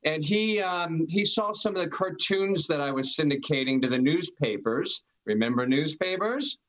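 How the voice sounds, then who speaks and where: A man's voice: fairly high pitch, animated, moderate pace, a bit bright, low-quality recording. A male comedian, a guest on a podcast, telling stories in a jokey, chatty way. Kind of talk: casual interview chat.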